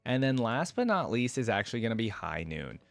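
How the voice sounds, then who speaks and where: A man's voice: medium pitch, very animated, a little fast, vocal fry, dry recording. A man giving casual, unscripted reaction commentary as a video host, thinking aloud informally with frequent exclamations.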